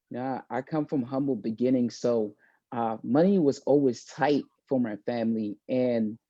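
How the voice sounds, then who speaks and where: A young man born in South Sudan and raised in Maine, speaking as an interview guest in a thoughtful, halting way, with many fillers and restarts. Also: mid-range voice, dark tone, no room sound, dull audio. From born in South Sudan, raised in Maine, USA. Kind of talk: casual video-call interview.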